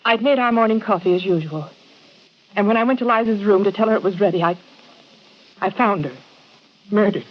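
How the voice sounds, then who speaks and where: An actress playing a firm, protective middle-aged aunt in an old-time radio crime drama, with an emphatic, dramatic delivery. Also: female voice, medium pitch, fairly quick, pauses often, natural voice. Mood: shaken, grieving. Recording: dull vintage radio recording.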